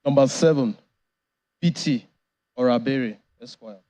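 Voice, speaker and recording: A man's voice: mid-range voice, measured pace, dark tone. A man with a Nigerian accent formally announcing appearances as a lawyer in court. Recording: dull, muffled courtroom audio.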